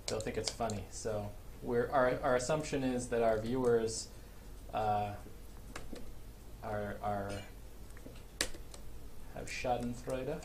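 A middle-aged man, a father playing a video game with his daughter, talking calmly and dryly and explaining things patiently. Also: medium pitch, slow, pauses often. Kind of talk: casual gameplay chat. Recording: clear background noise.

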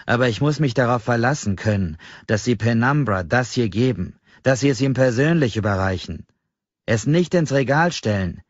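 A man's voice: mid-range voice, fairly quick, hardly any pauses, no room sound, highs cut off, voice pushed up, close to an everyday voice. A man voicing a short, stocky, pale middle-aged stranger who snaps at the listener with indignant contempt. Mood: insistent.

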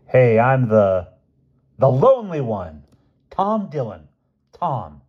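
A boisterous small-town joker, acted by a male reader, calls out loudly in a storybook reading. His voice is husky and pitched up, on a dull recording. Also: big pitch swings, slow pace, full character voice. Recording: dull, band-limited recording.

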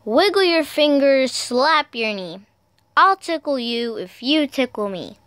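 A woman reading picture books aloud for toddlers in a sing-song, deliberate, child-directed way. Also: sits high, expressive, a bit bright, clear, resonant tone, no echo, clean studio sound. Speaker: storyteller, video host.

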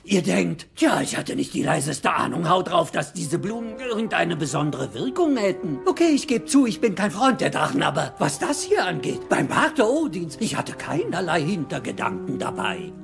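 A man playing a sly, grumbling, spiteful old villager with a creaky, whining voice in a cartoon audio drama. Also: on the high side, sing-song, moderate pace, hardly any pauses, music in the background, heavily acted. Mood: mock innocent. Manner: whining, mock-innocent.

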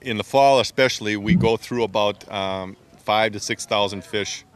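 A man's voice: lowish voice, normal speed. A man outdoors explaining his work at a fish facility into a handheld mic, hesitant with many ums and restarts. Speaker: fisheries staff member. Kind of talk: explaining to an interviewer. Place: outdoors by a brick building.

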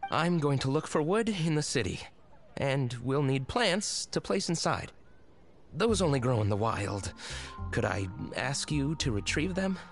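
An actor playing a hapless, apologetic, sentimental drunkard husband in a video game, hesitant and sighing. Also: male voice, medium pitch, lively intonation, measured pace, vocal fry. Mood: earnest. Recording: game dialogue with background music.

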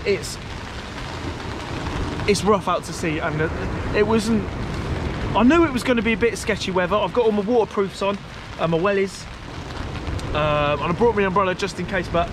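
Male fishing vlogger, 30s, high voice, informal chatty delivery, recorded outdoors with clear rain noise. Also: brisk, long pauses. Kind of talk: casual vlog chat to camera. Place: outdoors on a stormy beach under an umbrella. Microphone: camera at arm's length.

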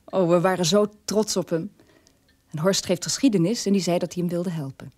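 Low-voiced middle-aged mother, played by an actress. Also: fairly even intonation, a little fast, a little mellow, no echo, natural voice. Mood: reflective, troubled. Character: troubled middle-aged mother recounting family's guilty past. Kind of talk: dramatic radio play monologue.

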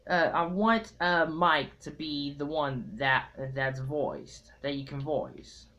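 A young man talking casually to viewers in a halting, hesitant way, recorded at home on a tablet's built-in mic. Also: on the high side, steady tone, pushed and bright. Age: young adult. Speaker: amateur fandub video maker. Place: small room at home. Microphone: tablet built-in mic at arm's length.

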